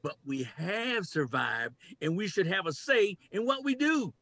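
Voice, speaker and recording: A man's voice: sits high, big pitch swings, measured pace, creaky, fairly bright tone, full character voice. An older man in a dramatic play reading, playing a domineering human leader who speaks in commanding declarations. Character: domineering man who proclaims human rule over animals. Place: cluttered home room, video call. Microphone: computer mic on video call.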